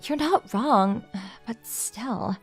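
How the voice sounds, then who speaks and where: A woman's voice: on the high side, animated, drawn-out words, breathy edge, faint music behind, heavily acted. A young woman playing a confident, pushy female CEO who teases her junior in a manga dub romance. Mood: tipsy, sulky. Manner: straightforward, teasing, self-assured.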